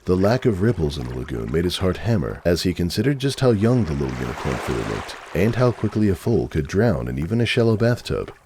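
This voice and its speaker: A man narrating a story as a dramatic scripted reading, measured and expressive. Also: deep voice, noisy background.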